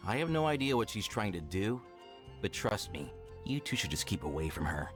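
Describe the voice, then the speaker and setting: Brusque, animated townsman. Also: lowish voice, moderate pace, music in the background. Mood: irritated. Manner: brusque, warning. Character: rude, standoffish townsman in a fantasy city. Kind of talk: video game voice acting.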